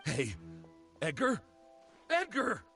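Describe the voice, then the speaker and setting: A man's voice: high-pitched, wildly swooping pitch, takes it slowly, pushed and bright, soft background music, exaggerated voice. A man playing a reserved, hesitant brother of few words in a video game. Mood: alarmed.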